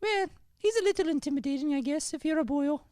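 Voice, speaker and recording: A man's voice: extremely high pitch, quick pace, a little mellow, no room sound, studio quality. A man voicing a chatty, forthright Irish schoolgirl in an improvised radio comedy. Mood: friendly, chatty. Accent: Irish, put on. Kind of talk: improvised old-time radio comedy play.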